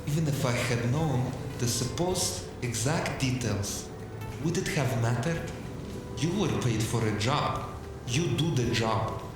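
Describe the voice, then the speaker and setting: A man's voice: medium pitch, lively intonation, a little slow, lightly acted. A cold, arrogant corporate fixer, voiced by a man, dismissing every complaint in a clipped, contemptuous tone. His voice rings in a very echoey space with music running underneath. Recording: echoey room with music behind.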